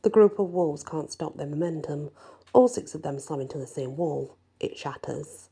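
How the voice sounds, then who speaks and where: A woman narrating a first-person fantasy story in an expressive, deliberate storytelling style. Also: sits low, husky. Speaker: story reader.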